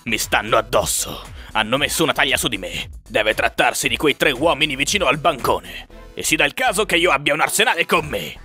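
A man voicing a deadpan, brooding superfan who poses as a hitman in a cartoon parody dub, speaking haltingly. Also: mid-range voice, faint music behind. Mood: brooding, paranoid.

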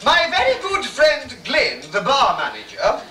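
A performer putting on a grand, bawdy pantomime hostess, addressing a live audience in an arch, drawn-out and saucy way. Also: high voice, huge pitch swings, exaggerated voice. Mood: arch welcome. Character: grand, bawdy theatrical hostess in a cabaret spoof. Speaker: master of ceremonies introducing the cast. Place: theatre bar stage before a live audience. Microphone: distant camera microphone. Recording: echoey noisy bar, distant mic, rowdy crowd.

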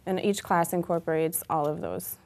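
A woman in her thirties speaks as a talk show guest. Her pitch is a little low, and she explains her work in a casual, conversational way. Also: steady tone, normal speed, vocal fry, a little mellow. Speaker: guest, gym owner and trainer. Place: small TV studio set, indoors.

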